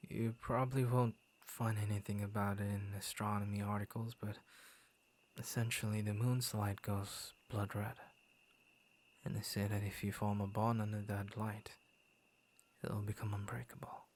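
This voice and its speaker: A man playing a gentle, lonely young werewolf in an intimate ASMR roleplay, speaking softly and often slipping into a breathy whisper. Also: lowish voice, little pitch movement, close to an everyday voice. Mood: soft, confiding. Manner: soft, breathy, often dropping into whispers, hesitant pauses. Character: gentle, lonely young male werewolf turned tender suitor. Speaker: voice actor in audio roleplay. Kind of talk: ASMR boyfriend roleplay, intimate scripted monologue.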